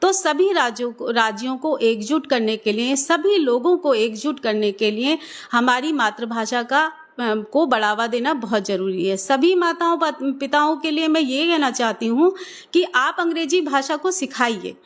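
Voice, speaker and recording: A woman's voice: sits high, expressive, moderate pace. A middle-aged woman, a government school teacher, answering interview questions in an earnest, persuasive way with some hesitant fillers.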